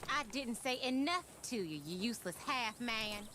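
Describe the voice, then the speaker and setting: A woman's voice: on the high side, animated, normal speed, sharp tone, a little hiss or hum. A woman voicing a feisty, defiant young outlaw with an American accent in a western video game. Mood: indignant, cutting. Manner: feisty, cutting.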